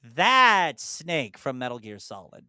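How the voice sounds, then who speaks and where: A woman's voice: deep voice, very animated, takes it slowly, crowd noise. A woman co-hosting a casual podcast, thinking aloud, repeating clues and poking fun at herself as she guesses. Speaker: podcast co-host. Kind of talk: casual chat.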